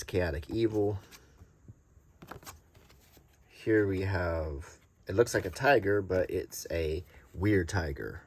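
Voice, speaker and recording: A middle-aged man giving a casual, unscripted show-and-tell in a halting, murmured way, like unintentional ASMR. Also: low voice, husky. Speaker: host presenting a vintage game card collection. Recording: noticeable background noise, small clicks.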